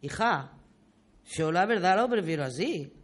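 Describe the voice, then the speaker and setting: A performer playing a talkative, fond old grandmother, reminiscing in folksy, expressive style in a community radio play. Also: medium pitch, measured pace. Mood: chatty, storytelling. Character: talkative, fond old village grandmother telling local history.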